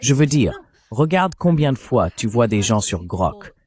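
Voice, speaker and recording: A man's voice: mid-range voice, sing-song, measured pace, a little mellow. A man speaking as a dubbed interview guest, recounting events at length with indignation.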